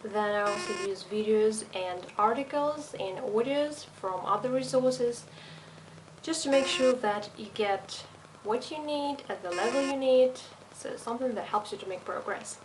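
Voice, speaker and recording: A young woman with a slight European accent, speaking non-native English in a fairly high voice. She lays out her teaching methods in a methodical, explanatory way, pausing on little fillers. A steady hiss runs under the recording. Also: rough recording. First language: not English. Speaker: language teacher. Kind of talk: teacher self-introduction video.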